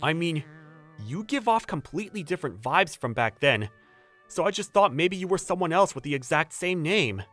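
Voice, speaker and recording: A man's voice: on the high side, expressive, normal speed, fairly bright tone, soft background music. A young man playing a polite, cautious new employee, speaking dialogue and first-person narration in a manga dub. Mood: flustered, wary. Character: hesitant, polite young man, newly hired junior employee.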